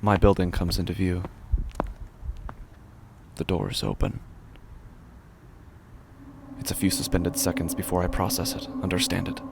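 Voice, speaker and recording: A deep, creaky-voiced male actor as an uneasy city dweller tells a horror story in the first person. He speaks in hushed, measured phrases, placing each one with care, as in an audio fiction podcast. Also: very animated, noisy background, full character voice. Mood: uneasy, suspenseful. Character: uneasy city dweller recounting a creepy homecoming.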